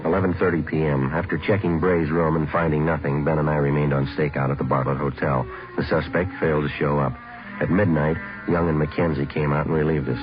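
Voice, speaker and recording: American radio cop, super deep flat businesslike delivery, muffled old recording. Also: male voice, fairly quick. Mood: deadpan, factual. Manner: clipped, deadpan. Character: terse, businesslike male police sergeant detective. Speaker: narrator and police detective. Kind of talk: 1950s radio drama narration and acting. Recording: dull old radio recording, music behind.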